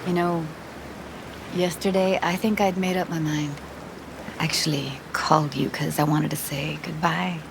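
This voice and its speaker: A woman's voice: deep voice, steady tone, unhurried, creaky, noisy background. An actress playing a world-weary, frank yet tender young city woman in a video game, speaking in a casual, clipped, intimate way. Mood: tender, resigned.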